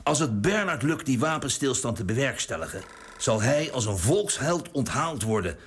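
An actor playing a cynical government minister in exile, sarcastic and conspiratorial in a radio drama. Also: male voice, mid-range voice, big pitch swings, normal speed, husky. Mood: scheming. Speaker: cabinet minister in wartime exile.

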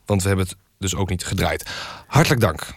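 A man hosting a satirical radio magazine in a mock-serious, deadpan and halting way. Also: sits low, gravelly, dry recording, clean studio sound. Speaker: radio show host. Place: radio studio. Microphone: close studio microphone.

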